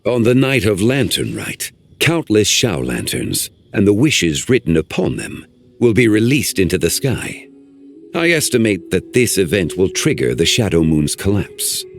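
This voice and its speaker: A man voicing an ancient male guardian in a video game, speaking in a formal, measured, old-fashioned way. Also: sits low, big pitch swings, faint music behind, full character voice. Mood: grave.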